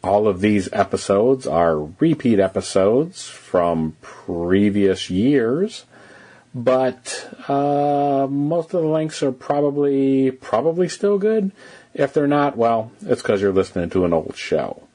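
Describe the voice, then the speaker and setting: A man's voice: medium pitch, expressive, slow, warm tone. A man hosting a podcast solo, talking casually in a hesitant, loosely improvised way. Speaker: podcast host.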